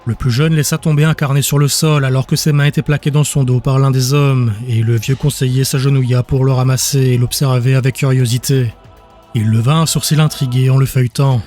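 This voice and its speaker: A man's voice: mid-range voice, steady tone, brisk, darkish tone. A man narrating a scripted fantasy audiobook in a dramatic storytelling style. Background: music.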